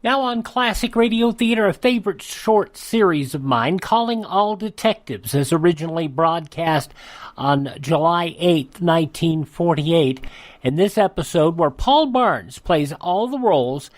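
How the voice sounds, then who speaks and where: A man's voice: on the high side, lively intonation, unhurried. A man hosting a radio programme, introducing a show in a presenter's style.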